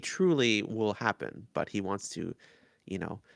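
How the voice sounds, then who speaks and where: A man co-hosting a podcast, discussing things in a measured, thoughtful and somewhat hesitant way. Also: on the low side, animated, vocal fry, no room sound, clean studio sound. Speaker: podcast co-host. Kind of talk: casual analytical discussion.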